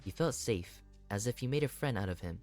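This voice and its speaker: A man's voice: lowish voice, animated, fairly quick, a little mellow, faint music behind. A man hosting a gaming video, reading visual novel narration aloud and reacting to it expressively.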